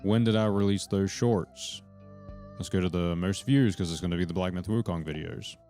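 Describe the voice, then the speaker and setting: A man's voice: low voice, normal speed, dark tone, faint music behind. A man chatting to viewers on his live stream, rambling from point to point, swearing freely and addressing people in the chat directly. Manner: rambling, profane, jumps between points; talks to chat members directly.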